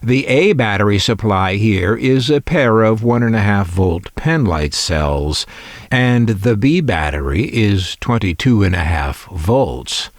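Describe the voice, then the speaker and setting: Older guy narrating collector video, slow talker, wry musing, pitch goes up n down a lot. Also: animated, dry recording. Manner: wry, musing aloud with dry humor. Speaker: collector presenting vintage radio finds. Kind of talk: scripted narration of a hobby video.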